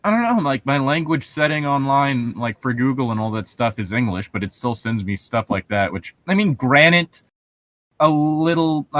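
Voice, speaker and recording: A man's voice: mid-range voice, fairly bright tone, no echo. Man in casual chat, dry close sound. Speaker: co-host.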